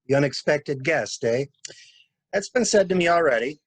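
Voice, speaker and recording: A man's voice: a little high, expressive, normal speed, dry recording. A man acting a shrewd, blunt male traveler in a mystery drama reading, with clipped, wry, probing delivery. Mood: wry, guarded. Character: male stranded traveler, shrewd, blunt, coolly inquisitive stranger.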